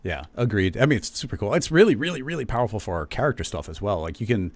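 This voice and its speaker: A man hosting a livestream show, chatting in a relaxed way and reading out viewer questions. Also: on the low side, fast, dry recording. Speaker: host.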